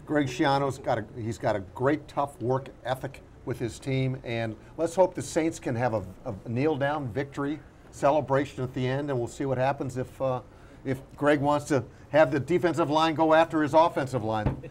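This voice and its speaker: A man of medium pitch talks sports as part of a panel. He is conversational and folksy, wandering through his points. There is faint ambient noise behind him. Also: normal speed, a little mellow.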